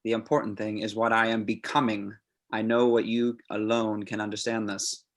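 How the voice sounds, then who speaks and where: A man acts out a fanatical killer in a screenplay read, composing a letter to his idol. His voice sits on the low side, and he speaks in measured, formal phrases. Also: flat delivery, close to an everyday voice. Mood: confiding, self-important. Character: obsessed male serial killer writing to his idol.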